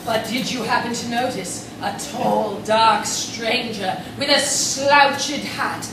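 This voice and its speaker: A woman's voice: fairly high pitch, takes it slowly. A woman playing a nosy, haughty rich society wife turned amateur detective in a staged comic radio play. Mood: haughty, insistent. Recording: echoey room, distant mic, clear noise.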